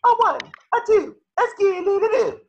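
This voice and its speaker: A man's voice: very high voice, lively intonation, normal speed, creaky, dull audio, a crowd in the background, full character voice. A man voicing an earnest, boyish, optimistic sea sponge who rallies others theatrically in a cartoon table read. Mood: rallying.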